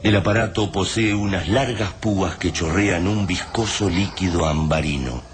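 A man's voice: low-pitched, moderate pace. A man narrating a radio play in a grave, portentous, dramatic scripted style. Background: music.

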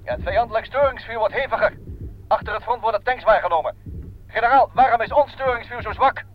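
High-voiced strained soldier on field telephone. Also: male voice, quick pace, slight background noise. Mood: alarmed. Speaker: actor in a radio play. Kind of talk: Dutch radio drama acting. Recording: muffled, band-limited old radio recording.

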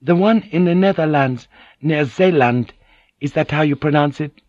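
Guy doing a courteous japanese noblewoman, pitched way up, hesitant n squeamish, audiobook on muffled tape. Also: normal speed, darkish tone, dry recording, close to an everyday voice. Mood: polite, inquiring. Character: polite Japanese noblewoman, soft, flustered, squeamish.

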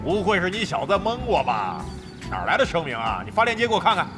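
A crude, swaggering older gamer taunts at length in a very high voice. He is brash and bandit-like, drenched in long reverb, with clear music behind him in this audio drama. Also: wildly swooping pitch, quick pace. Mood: indignant, suspicious. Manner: brash, taunting, coarse-tongued and long-winded.

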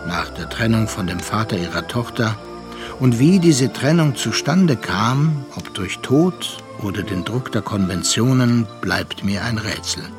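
A man's voice: fairly low pitch, animated. Reflective male storyteller over music. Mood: reflective, melancholy. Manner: measured, contemplative. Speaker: narrator. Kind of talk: literary radio play narration.

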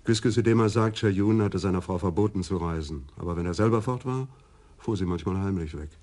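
An actor playing a stout, easygoing middle-aged police adjutant in a German radio crime drama, speaking in a dry, matter-of-fact way. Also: male voice, deep voice, a little fast, a little mellow, natural voice.

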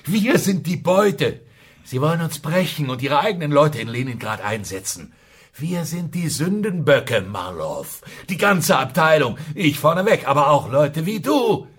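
An actor playing a fearful, desperate secret police chief in a radio drama, intense and halting. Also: male voice, fairly high pitch, big pitch swings, fairly bright tone, husky, exaggerated voice. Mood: alarmed, bitter.

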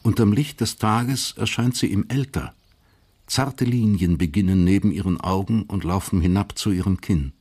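A man narrating a German historical crime radio drama as a sober storyteller, measured and matter-of-fact. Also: low voice, darkish tone, slightly rough. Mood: observant.

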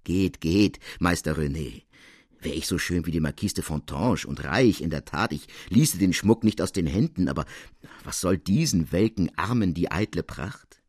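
A man's voice: sits low, animated, moderate pace, darkish tone, slightly rough, no echo, studio quality. A man voicing an elderly noblewoman with a gracious, playful, courtly manner in a storybook reading. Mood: playfully modest. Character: elderly noblewoman, gracious, teasing, self-deprecating.

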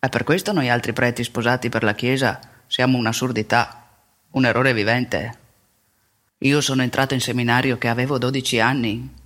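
Actor as mild, self-deprecating older former priest. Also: male voice, mid-range voice, little pitch movement, normal speed, fairly bright tone, lightly acted. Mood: wry, resigned. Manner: colloquial, plain-spoken, self-deprecating, with rhetorical tags. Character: mild, devout married former priest, older man, recalling seminary. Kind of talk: theatrical confessional monologue.

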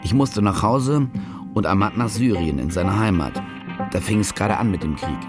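A man's voice: lowish voice, expressive, moderate pace, natural voice. An actor playing a cynical, self-satisfied male war reporter, telling his story in terse, wry radio-play narration. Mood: smug, wry. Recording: studio radio play with music bed. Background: music.

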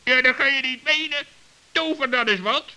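A man playing a brisk, teasing but kindly raven in a children's radio play, with a high, sharp voice. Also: smooth, full character voice. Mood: coaxing, doubting.

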